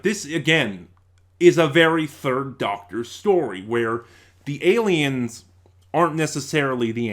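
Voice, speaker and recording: A man's voice: medium pitch, fairly bright tone. A man giving a casual, unscripted review, thinking aloud and correcting himself as he rambles along. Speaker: YouTube audio drama reviewer.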